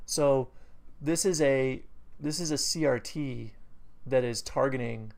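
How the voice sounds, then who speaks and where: Gravelly New England man reviewing trades. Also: medium pitch, takes it slowly, darkish tone. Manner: rambling, many fillers and self-corrections; enthusiastic bursts. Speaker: trading educator. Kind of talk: screen-recorded trade review.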